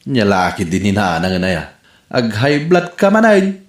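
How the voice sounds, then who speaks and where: A man's voice: on the low side, big pitch swings, moderate pace. A man playing a suspicious, put-upon grown man in an Ilocano radio comedy drama, with an Ilocano accent. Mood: defensive. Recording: roomy, echoing sound.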